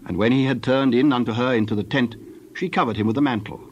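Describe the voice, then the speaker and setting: A man's voice: medium pitch, quick pace, dull audio, a little hiss or hum. A man narrating scripture as a scripted dramatized reading.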